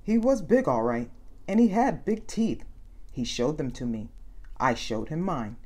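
A woman reading a children's story aloud as a storyteller, with expressive, deliberate phrasing and drawn-out emphasis. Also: low voice, breathy edge. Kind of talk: read-aloud story narration.

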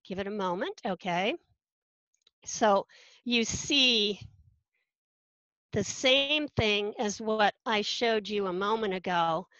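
A woman presents over a video call, talking through a live screen demo. She speaks very slowly and deliberately. The call audio sounds dull and dry, with no room sound. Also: mid-range voice, fairly bright tone, dry recording. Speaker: faculty presenter.

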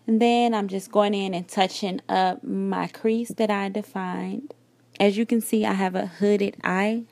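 A woman's voice: medium pitch, a little slow, creaky, dry recording. Woman in her thirties, dry chatty voiceover. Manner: relaxed, chatty step-by-step explaining. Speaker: beauty vlogger. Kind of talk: makeup tutorial voiceover. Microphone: voiceover recorded separately.

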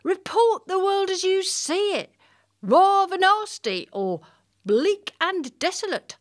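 Woman as bossy coaxing critic, comic audio drama, slow emphatic delivery, lively swooping pitch. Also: full character voice. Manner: cajoling, instructing, emphatic. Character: bossy, coaxing streetwise critic of a night watchman.

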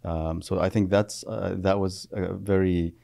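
Low gravelly man, hesitant and thoughtful. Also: flat delivery, a little slow, warm tone, dry recording, studio quality. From Iraq. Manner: hesitant, many fillers and restarts. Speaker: therapist guest. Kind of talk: reflective podcast interview. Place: podcast studio, indoors. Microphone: studio mic on boom arm.

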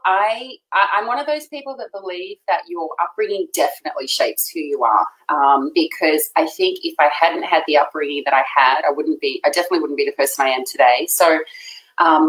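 A middle-aged Australian woman talking warmly and effusively as a guest on a video call. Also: low voice. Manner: warm, effusive, laughs often. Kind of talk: casual chat. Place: home room. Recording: echoey room on video call.

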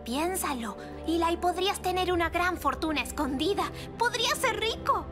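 A woman's voice: very high voice, expressive, moderate pace, over music. A woman voicing a spirited, sceptical teenage girl adventurer in a Latin American Spanish cartoon dub, pert and emphatic. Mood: eager. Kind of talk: animated series dub.

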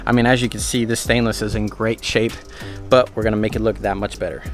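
A man's voice: lowish voice, fairly even intonation, fast talker, faint music behind. A young man running a boat detailing business, talking casually and upbeat to a handheld camera outdoors at a marina. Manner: upbeat, chatty, lots of "guys" and fillers. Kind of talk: casual how-to vlog. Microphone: handheld camera at arm's length.

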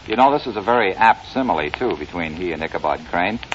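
A man's voice: low voice, animated, fast. A man hosting and interviewing in a polished, formal 1950s radio announcer style. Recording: dull, noisy old radio recording.

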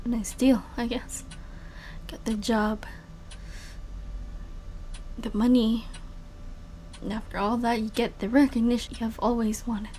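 Woman acting betrayed young woman spy, high voice, hurt, bitter, guarded, emotional audio drama. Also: little pitch movement, a little slow, long pauses, mellow, slight background noise, close to an everyday voice. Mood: defeated, pleading.

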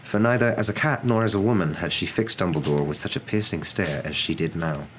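Low-pitched young man reading a story. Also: fairly quick. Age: young adult. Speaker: audiobook-style reader. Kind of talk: ASMR storybook narration. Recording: clear background noise.

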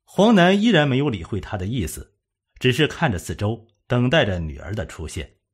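A man with a husky voice reading a scripted suspense story as an audiobook narrator. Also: medium pitch, big pitch swings, moderate pace.

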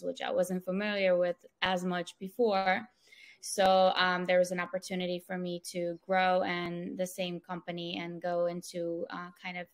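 Woman, thirties, measured with fillers, earphone mic on a video call. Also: on the low side, gravelly, fairly bright tone. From Ukraine, raised in Israel. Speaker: podcast guest. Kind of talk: casual interview answers. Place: small room at home. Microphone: wired earphone mic on video call.

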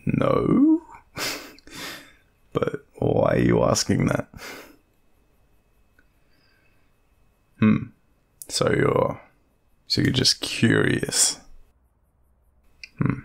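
A man acting as an awkward young Australian guy, sweet on his friend, in an intimate audio roleplay. His voice is low and full of gravelly fry, swinging widely in pitch. He rambles and hesitates, joking at his own expense. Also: heavily acted. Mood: flustered, shy. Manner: rambling, hesitant, self-deprecating, swearing. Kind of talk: intimate casual roleplay, half-improvised.

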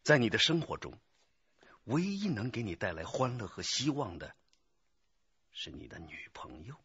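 An actor playing a stern, earnest young police detective lecturing a suspect in a radio drama. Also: male voice, on the low side, sing-song, moderate pace, pushed and bright, slightly rough, full character voice. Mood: grave, sympathetic. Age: 20s. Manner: emphatic, lecturing. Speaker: police interrogator. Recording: dull, muffled lo-fi audio.

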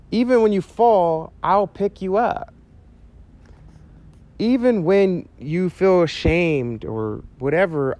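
A man in his thirties preaching outdoors to a camera, earnest and animated, talking casually with fillers. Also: a little high. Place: outdoors by a chain-link fence on a sunny day. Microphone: handheld mic, medium camera shot.

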